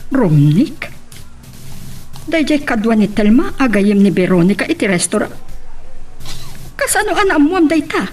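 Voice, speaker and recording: A woman's voice: on the high side, sing-song, normal speed, long pauses, darkish tone, soft background music. A woman playing a concerned mother advising her grown son in an Ilocano radio drama, with an Ilocano accent. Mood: surprised, questioning.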